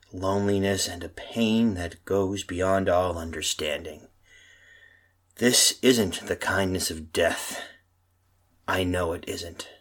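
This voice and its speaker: A man playing a tormented husband in an old-style radio horror drama, theatrical and husky. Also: low-pitched. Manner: theatrical, brooding, halting monologue. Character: tormented lost husband, haunted, telling his doom.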